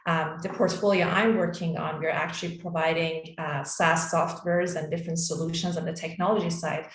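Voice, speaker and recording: Woman guest on a panel, kinda low voice, echoey room, informal. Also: steady tone, moderate pace. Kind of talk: informal self-introduction on a panel. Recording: roomy, echoey call audio.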